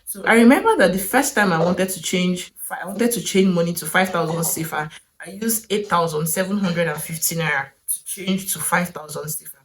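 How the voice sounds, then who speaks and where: A young woman with a Nigerian accent talks through her travel story in a relaxed vlog. Her voice sits a little low for a woman. She muses aloud, asks herself questions and laughs briefly now and then. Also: moderate pace, rough recording. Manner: chatty, wondering aloud, with short laughs. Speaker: vlogger.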